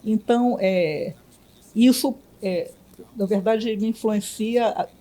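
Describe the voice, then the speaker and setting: A woman from Belém, a physician and union director, answering interview questions in a reflective way with many pauses and restarts. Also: mid-range voice, mellow. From Belém, Brazil.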